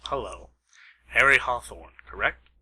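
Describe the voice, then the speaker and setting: A man's voice: mid-range voice, expressive, unhurried, gravelly, bright, forceful tone, a little hiss or hum, heavily acted, low-quality recording. A man playing an earnest, questioning investigator in an amateur radio mystery. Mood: businesslike. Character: earnest, questioning male investigator, detective's partner. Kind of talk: amateur radio play acting.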